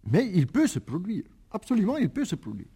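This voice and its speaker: A man from the Netherlands, answering as an expert doctor in a careful, halting, emphatic way. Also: high voice, huge pitch swings, warm tone, husky.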